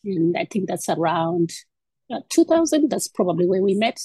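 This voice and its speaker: A woman's voice: on the low side, sing-song, moderate pace, mellow, slightly rough, no room sound. A woman in her fifties from Zimbabwe, a guest talking through her background with frequent ums and restarts. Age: 50s. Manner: hesitant, many ums and restarts. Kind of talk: casual interview conversation.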